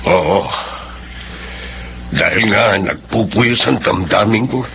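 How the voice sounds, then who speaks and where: An actor playing a stern middle-aged uncle in a radio drama, speaking in a grave, measured way. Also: male voice, lowish voice, pushed and bright, full character voice. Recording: dull lo-fi recording with faint noise.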